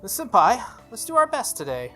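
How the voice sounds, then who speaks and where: Game player doing a timid schoolgirl, deferential, hesitant, gentle, visual novel reading. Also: mid-range voice, wildly swooping pitch, fairly quick, faint music behind, voice pushed up, exaggerated voice. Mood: timid, polite. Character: shy, polite, apologetic schoolgirl, gentle and deferential.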